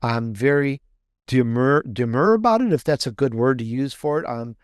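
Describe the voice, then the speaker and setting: A man's voice: medium pitch, expressive, moderate pace, smooth, no echo, clean studio sound. A man talking casually as a podcast guest, chatty and rambling, often repeating and restarting his phrases. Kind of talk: casual, conversational interview answer.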